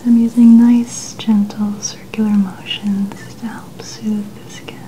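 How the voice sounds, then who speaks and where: A woman speaking in a soft, mostly whispered voice, gently and soothingly narrating each step of an ASMR personal-care role-play. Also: mid-range voice, flat delivery, slow pace, poor audio. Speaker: ASMR creator.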